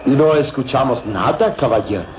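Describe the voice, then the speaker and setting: An actor playing a sly, courteous German villain in a radio serial, with a German accent and formal, theatrical delivery. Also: male voice, mid-range voice, expressive, lo-fi sound, a little hiss or hum, natural voice. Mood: persuasive. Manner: theatrical, formal, insistent, with dramatic pauses. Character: sly German villain, smoothly deceitful, courteous adult man. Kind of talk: vintage radio serial acting. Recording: band-limited radio recording, loud music behind.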